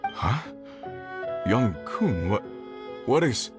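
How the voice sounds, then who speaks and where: A man's voice: mid-range voice, big pitch swings, drawn-out words. A man playing an earnest, polite crew leader in a video game, concerned and slightly flustered. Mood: concerned, puzzled. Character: earnest, grateful male leader of a miners' search party. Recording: music behind the voice.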